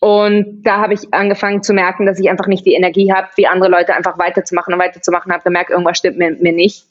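A woman's voice: lowish voice, level tone, fast talker. Woman from barcelona telling her story on a podcast, lots of ähms and restarts, kinda muffled audio. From Barcelona, Spain. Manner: chatty, laughs often, many fillers and restarts. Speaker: podcast guest. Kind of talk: casual interview chat.

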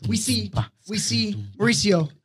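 A man in his twenties co-hosting a casual podcast, telling a story in an animated, sing-song way with repeated phrases. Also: high-pitched, a little slow, slightly rough. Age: 20s. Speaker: podcast co-host. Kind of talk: casual chat, acted-out storytelling. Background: music playing under the talk.

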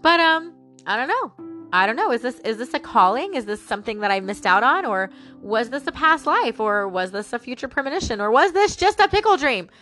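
A woman's voice: fairly high pitch, sing-song, a little fast, a bit bright, faint music behind. A woman with a stuffy nose and a slightly nasal voice, rambling and musing aloud to her listeners as host of a personal talk show. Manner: chatty, musing aloud, addresses listeners as guys. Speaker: podcast host.

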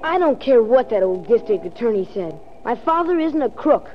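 A woman's voice: a little high, very animated, normal speed, a little hiss or hum. A woman playing a small, plucky, stubborn boy with earnest, slangy talk in a 1940s radio drama. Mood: defiant. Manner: slangy, insistent kid talk. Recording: muffled 1940s radio recording.

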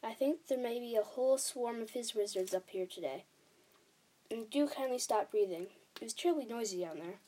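A woman voicing an excitable, kindly male giant in a children's storybook, emphatic and exclamatory, with quaint muddled grammar. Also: on the high side, normal speed, natural voice. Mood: excited, bossy.

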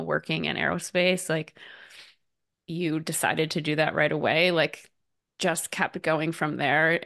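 Low-voiced woman host, supportive and rambling. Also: vocal fry, a bit bright. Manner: supportive, lots of "like" and "um" fillers, frequent affirming "mm-hmm". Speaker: podcast host. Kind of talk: casual interview chat.

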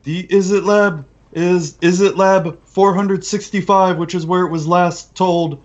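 A man voicing a self-important, excitable underworld boss in a tabletop game, emphatic and repetitive. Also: high voice, measured pace, dull audio, pitched above the performer's own voice. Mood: instructive. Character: excitable boastful male crime boss of the underworld.

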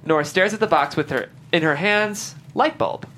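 A woman's voice: lowish voice, moderate pace, a bit bright, a little hiss or hum. A woman reading stage directions as scripted narration at a table read.

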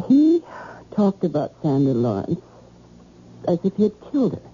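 An anxious, devoted wife in a vintage radio drama. Her voice is lowish, very dark and highly expressive, coaxing and soothing, on a muffled old recording. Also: moderate pace, breathy edge, exaggerated voice. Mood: worried, alarmed. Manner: coaxing, emotive.

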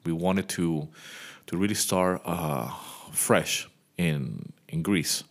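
A man's voice: deep voice, takes it slowly. A man in his thirties who grew up in Venezuela, hosting a casual podcast monologue and talking straight to his listeners. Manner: chatty, speaks straight to the listener. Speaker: podcast host, music composer. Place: home.

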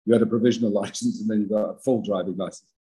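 Older male actor, interview guest. Big voice, slightly husky, low-set, rambling and self-deprecating. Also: steady tone, rapid-fire, dark tone. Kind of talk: podcast interview.

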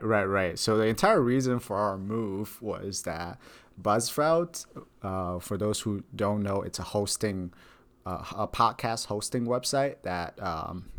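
A young man with a lowish voice co-hosts a casual podcast, talking in a halting way. He stacks up fillers and repeats words as he works through his thoughts. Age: young adult. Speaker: podcast co-host. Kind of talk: casual chat.

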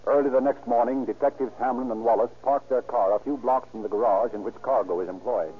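A man's voice: mid-range voice, fast, slightly rough. A man giving formal, dramatic scripted narration in the style of an old-time radio announcer. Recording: tinny old radio recording, music behind.

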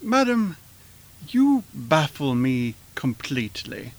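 Guy doing a drowsy fed-up old-radio husband, drawn out, pitch swinging all over. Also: sing-song, takes it slowly, vocal fry, heavily acted. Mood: drowsy exasperation. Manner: drowsy, dryly exasperated. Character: sleepy, dry, exasperated middle-aged husband. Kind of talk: 1940s radio acting.

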